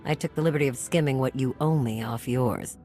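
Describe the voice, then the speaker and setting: An actress playing a no-nonsense smuggler in a game cutscene, teasing her partner in a deadpan way. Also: extremely low pitch, fairly quick, faint music behind. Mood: wry, unimpressed. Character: dry, no-nonsense female smuggler, the rogue's partner. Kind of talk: video game cutscene acting.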